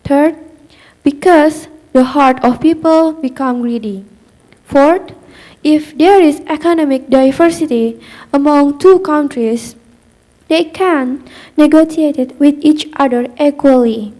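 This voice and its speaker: A young woman from Indonesia steps through a prepared student presentation point by point. Her voice sits high and mellow. She speaks slowly and with care. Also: rough recording. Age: young adult. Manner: reciting numbered points carefully.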